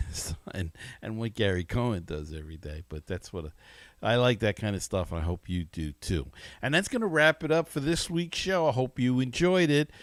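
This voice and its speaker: A man's voice: lowish voice, no echo. A man hosting a sports podcast in a casual, conversational and somewhat hesitant way. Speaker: podcast host.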